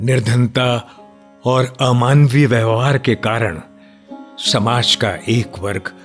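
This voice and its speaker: An actor playing an earnest, courteous nationalist reformer in a radio drama, measured and formal. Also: male voice, mid-range voice, lightly acted.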